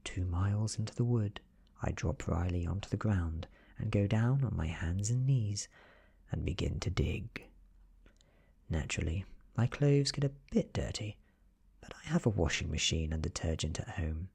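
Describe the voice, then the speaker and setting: A British man reading a story in a soft-spoken, hushed and breathy ASMR style, measured and deliberate. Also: low-pitched. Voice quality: breathy, whispery. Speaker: ASMR narrator reading an audiobook.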